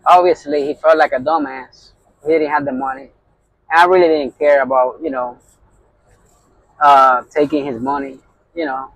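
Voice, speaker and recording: A man's voice: a little high, steady tone, moderate pace, long pauses, a bit bright, low-quality recording. A middle-aged man with a Puerto Rican accent, a truck driver telling a heated story in a casual vlog, with constant fillers. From New York. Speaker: owner-operator truck driver.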